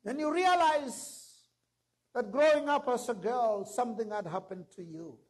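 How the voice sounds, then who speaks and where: A man's voice: extremely high pitch, measured pace, darkish tone, breathy edge. A middle-aged man preaching a sermon from a church stage into a handheld mic, emphatic and rising to exclamations. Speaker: pastor.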